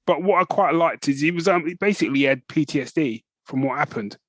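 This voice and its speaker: A man's voice: a little high, brisk, fairly bright tone, no room sound. A man co-hosting a podcast, chatting casually and enthusiastically, with frequent restarts. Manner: enthusiastic, rambling with many restarts. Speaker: podcast co-host. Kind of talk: casual chat.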